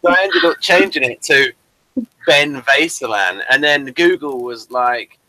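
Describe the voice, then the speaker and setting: A man's voice: medium pitch, animated, measured pace, sharp tone, no echo, rough recording. A man hosting a casual interview, rambling and chatty and addressing viewers as folks. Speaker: host and playwright.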